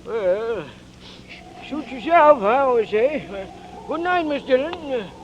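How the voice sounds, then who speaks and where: A man's voice: very high voice, animated, slow pace, a little hiss or hum, exaggerated voice. An actor playing a chatty, folksy small-town man who rambles and hesitates, in 1940s radio acting, heard on a dull old radio recording. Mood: gossipy.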